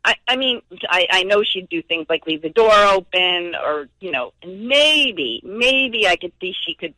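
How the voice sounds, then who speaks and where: Woman on a phone call, stammers a lot, bright lively voice, dry sound. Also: fairly low pitch, a little slow, dry recording. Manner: flustered, stammering, incredulous. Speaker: prank call victim. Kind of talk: phone conversation.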